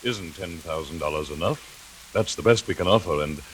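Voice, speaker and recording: A suave middle-aged male villain from a 1940s radio noir , played by an actor. His voice is very deep, his politeness mocking and menacing. Light hiss and rain cling to the recording. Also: lightly acted. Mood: mocking menace. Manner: genial menace, unhurried politeness.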